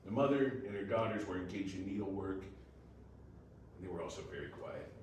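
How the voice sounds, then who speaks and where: Casting: male narrator, slightly low voice, reading a story aloud, distant in a reverberant room. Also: lively intonation, normal speed, darkish tone. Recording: very echoey room, distant mic, faint noise.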